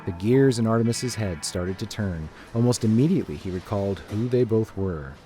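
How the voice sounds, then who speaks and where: A man's voice: lowish voice, animated, fairly quick, warm tone. A man reading scripted story narration as a narrator, with music behind him.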